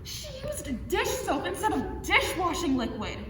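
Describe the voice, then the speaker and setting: A woman's voice: extremely high pitch, sing-song, measured pace, exaggerated voice. A young woman acting in a student stage play as an exasperated, guilt-ridden girlfriend who rants and swears. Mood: upset, weary. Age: young adult. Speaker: student actor. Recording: echoey hall, distant mic, noisy.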